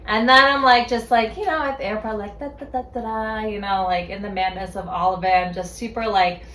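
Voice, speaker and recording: A woman's voice: mid-range voice, fairly even intonation, a little fast, fairly bright tone. A woman in her thirties co-hosting a podcast, talking casually and swearing freely. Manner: chatty, swears freely, lots of fillers and laughter. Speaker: podcast co-host. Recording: echoey room.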